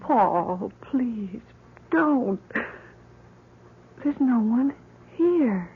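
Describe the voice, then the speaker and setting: An actress playing a grieving, desperate mother and wife in a radio drama, halting, fragile and pleading. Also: a little high, animated, husky, full character voice. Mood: pleading, distressed. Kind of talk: old-time radio drama acting. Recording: muffled, noisy vintage radio recording.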